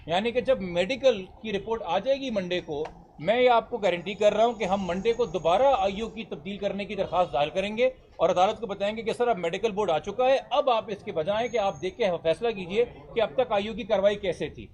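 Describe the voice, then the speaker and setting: Casting: Pakistani male lawyer, high voice, giving a formal, emphatic press statement and fielding reporters' questions. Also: a little fast, darkish tone. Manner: articulate, formal, emphatic, mixing in English legal terms.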